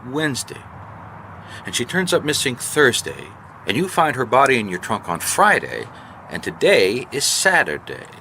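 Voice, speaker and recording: An actor playing a weary, wisecracking private investigator in a radio detective drama, with wry, exasperated deadpan delivery. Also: male voice, medium pitch, moderate pace, a little hiss or hum, heavily acted. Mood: dry. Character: weary, wisecracking male private investigator narrating his case.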